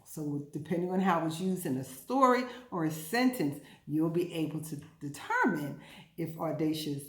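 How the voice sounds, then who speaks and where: A woman hosting an interactive storytime and vocabulary lesson for young children, speaking in an emphatic, deliberate, teacherly way. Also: low-pitched. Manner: emphatic, deliberate teacherly delivery with repetition and questions to listeners. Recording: roomy, echoing indoor recording.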